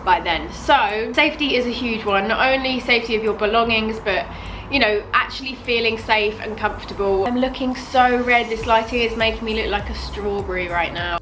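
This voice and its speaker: A young British woman in her twenties, talking to camera in a casual, animated vlog and using "like" a lot. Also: a little high, brisk, slight background noise, low-quality recording. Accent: British English. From United Kingdom. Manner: chatty, animated, fills with "like". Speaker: vlogger. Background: background music.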